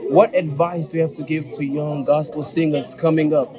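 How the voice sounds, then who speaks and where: Casting: young male church interviewer, slightly high voice, polite and deferential, background crowd chatter. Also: flat delivery, moderate pace. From United States. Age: young adult. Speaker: interviewer, church ministry host.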